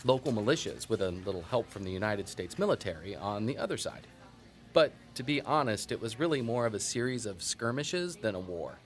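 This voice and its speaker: A man's voice: lowish voice, expressive, brisk, close to an everyday voice. A man playing a chatty small-town historian in an audio drama, telling local lore in a folksy, wry, digressive way. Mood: wry, amused. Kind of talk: conversational storytelling in an audio drama.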